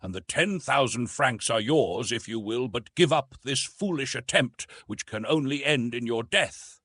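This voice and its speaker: Guy reading as a teasing french hunter in an audiobook, formal, bit sarcastic, pitch goes all over, kinda husky. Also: lively intonation, measured pace, a bit bright, dry recording, studio quality. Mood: concerned, insistent. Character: bantering, good-natured French hunter, slightly sarcastic wagerer. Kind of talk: audiobook character dialogue.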